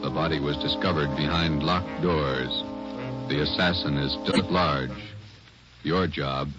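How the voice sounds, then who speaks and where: A man with an American accent delivering grave, deliberate 1940s radio narration as an announcer. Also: a bass voice, fairly even intonation. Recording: muffled old radio, loud brass music.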